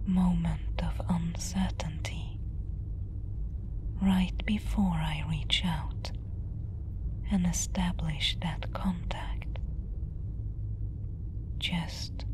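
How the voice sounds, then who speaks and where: A woman performing a world-weary, musing space explorer in a breathy, hushed whisper for a softspoken ASMR roleplay. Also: on the low side, a little hiss or hum. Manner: slow, hushed, contemplative. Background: ambient soundscape.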